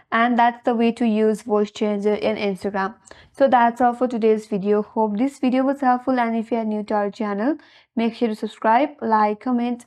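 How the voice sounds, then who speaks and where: A woman with a fairly high voice narrates a how-to tutorial. She works from a script as the host, giving plain instructions one step at a time. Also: darkish tone.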